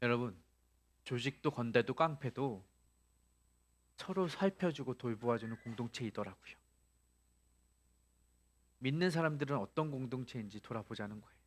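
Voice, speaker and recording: A man's voice: mid-range voice, lively intonation, moderate pace, pauses often. A male pastor preaching a sermon, asking the congregation rhetorical questions and rising to emphatic peaks.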